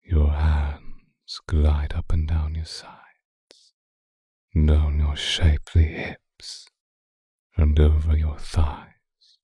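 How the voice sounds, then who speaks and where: A man playing an obsessive, seductive vampire in an intimate, hushed ASMR roleplay, speaking deliberately and often whispering close to the microphone. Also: monotone, no echo, full character voice. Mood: seductive, menacing. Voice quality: very deep, breathy, husky, often whispering. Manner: slow, deliberate, hushed, formal wording. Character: seductive male vampire stalker, obsessive and predatory. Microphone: close microphone, dry sound.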